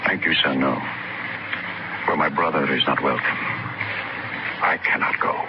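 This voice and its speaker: An actor playing a gentle, eccentric old wandering preacher in a 1950s radio drama, solemn, pious and deliberate. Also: male voice, extremely low pitch. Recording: noisy, muffled old radio recording.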